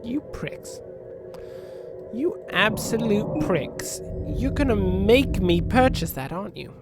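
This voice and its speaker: A man's voice: high voice, big pitch swings, slow. A man with a sniffly, runny nose, giving joking, rambling commentary as a let's play host and talking back to the game's characters. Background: game music and spaceship sounds.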